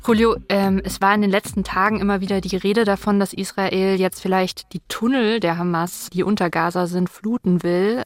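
A woman's voice: mid-range voice, no echo. A woman hosts a podcast interview in a mid-range voice. She is chatty and informal, asking with curiosity. The sound is dry and close to the microphone. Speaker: podcast host.

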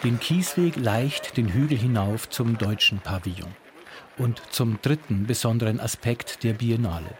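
A man reads a scripted arts report for radio at a steady, measured pace. His voice sits in an everyday middle register. A faint hum of ambient noise stays behind him. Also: mid-range voice. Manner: measured, descriptive reading. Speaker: culture reporter. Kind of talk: scripted radio feature narration.

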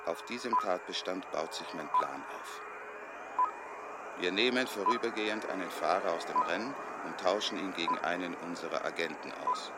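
An actor playing a confident schemer who explains a covert plan step by step in a German radio play. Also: male voice, low voice, normal speed, natural voice. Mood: assured, businesslike. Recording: loud outdoor traffic-like noise.

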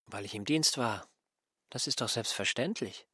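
A man acting an aggrieved, smug former servant in a radio drama, reproachful and pedantic, with a husky, breathy voice. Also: on the low side, expressive, moderate pace, pushed and bright, no echo, studio quality, full character voice. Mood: matter-of-fact, smug. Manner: reproachful, self-righteous, pedantic.

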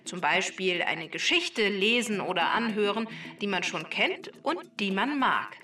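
A woman's voice: mid-range voice, expressive, unhurried, pushed and bright, faint music behind. A woman giving scripted explanations for children on a podcast, in a roomy, slightly distant recording.

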